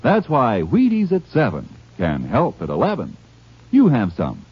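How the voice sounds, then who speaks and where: A male announcer sells hard in 1950s radio style. His husky voice swings dramatically up and down with emphatic salesmanship, on a dull, vintage lo-fi recording. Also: medium pitch, wildly swooping pitch, a little slow. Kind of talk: 1950s radio advertising pitch.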